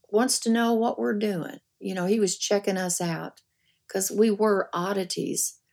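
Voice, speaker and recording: An older woman, an author and guest, telling folksy anecdotes in an animated conversational way. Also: medium pitch, vocal fry. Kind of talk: storytelling in conversation.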